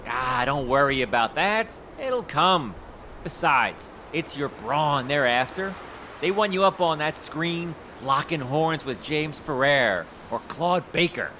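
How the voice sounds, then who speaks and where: An actor playing a folksy, fast-talking male Hollywood talent scout with a salesman's patter in an audio drama. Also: on the high side, expressive, bright, forceful tone, slight background noise, exaggerated voice.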